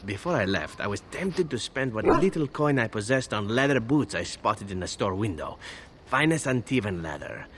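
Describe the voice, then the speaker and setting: An actor playing a smooth-talking, flirtatious assassin with flowery, courtly phrasing in a video game. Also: male voice, fairly low pitch, sing-song, moderate pace, a bit bright, slight background noise. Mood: wistful, musing. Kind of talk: video game dialogue acting.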